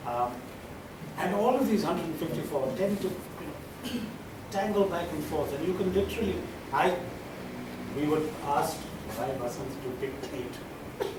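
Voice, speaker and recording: A man with a slightly high voice talks informally to an audience, emphatic and halting. He is off-mic in a very echoey room, under loud noise. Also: lively intonation, far from the mic. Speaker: poetry presenter. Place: large echoey room at a live event.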